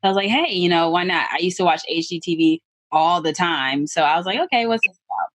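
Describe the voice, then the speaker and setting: A woman in her twenties speaks as a podcast guest, her voice a little low. She is cheerful and laughs easily, and her voice sounds close and dry. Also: fairly quick, dry recording. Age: 20s. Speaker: guest, real estate investor. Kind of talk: casual interview chat.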